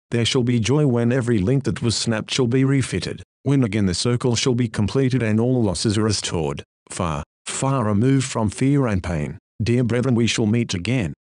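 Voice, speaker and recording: A man reading an old-style sermon aloud, exhorting his listeners with solemn fervor and slowing, low emphasis on key phrases. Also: darkish tone, no room sound, clean studio sound. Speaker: preacher.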